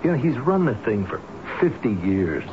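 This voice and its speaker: A man playing a soft-hearted, flustered building worker in a radio drama, hesitant and stammering in colloquial speech. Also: medium pitch, very animated, normal speed, natural voice. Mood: pleading. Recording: noisy, muffled old radio broadcast recording.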